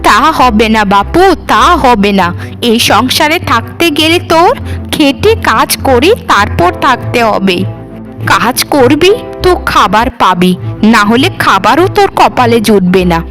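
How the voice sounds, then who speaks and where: A woman's voice: on the high side, expressive, a little slow, fairly bright tone, soft background music. A woman voicing a domineering older mother-in-law in a cartoon, with a scolding, demanding manner. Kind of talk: animated cartoon dialogue.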